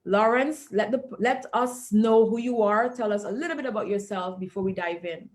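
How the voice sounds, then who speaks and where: A woman's voice: mid-range voice, normal speed. A woman hosting an online video call, who talks with emphasis and often checks in with "all right?" and "okay?". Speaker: host.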